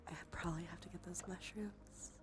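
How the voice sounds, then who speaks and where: A woman streamer chatting in a soft, breathy, often whispered ASMR style, murmuring gently as she thinks aloud. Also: fairly low pitch, fairly even intonation, brisk. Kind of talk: cozy ASMR game stream chat. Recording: soft background music. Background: music.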